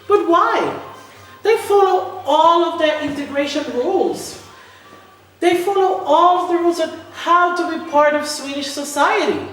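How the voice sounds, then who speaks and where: A woman's voice: extremely high pitch, animated, unhurried, fairly bright tone. A woman reading a spoken-word political manifesto with emphatic, sarcastic delivery and repeated refrains. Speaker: writer reading own spoken-word text. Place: large reverberant indoor art venue. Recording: echoey hall from far off, faint noise.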